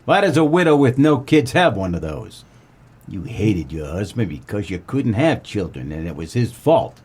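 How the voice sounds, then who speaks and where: A man playing a wisecracking, deadpan 1940s private detective in a comedic noir radio play, with terse, slangy tough-guy delivery and a husky voice. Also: fairly low pitch, moderate pace. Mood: smug, accusing. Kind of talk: comedic noir radio play, first-person narration and dialogue.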